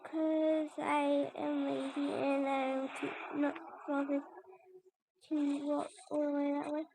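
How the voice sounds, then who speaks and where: Female gamer, high voice, informal play-along commentary. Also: monotone, slow pace, creaky, mellow, slight background noise, poor audio. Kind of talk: casual gameplay commentary.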